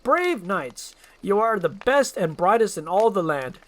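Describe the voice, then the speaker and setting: A woman's voice: mid-range voice, very animated, a little slow, voice pushed up. A woman voicing a pompous, scheming evil lord who gives commanding speeches in a storybook roleplay. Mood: grandiose.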